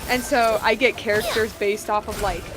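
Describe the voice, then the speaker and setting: A woman's voice: mid-range voice, fairly even intonation, moderate pace, low-quality recording. A young woman chatting casually on a live game stream, rambling and gushing in an informal way. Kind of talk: casual live stream chat over gameplay. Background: game music.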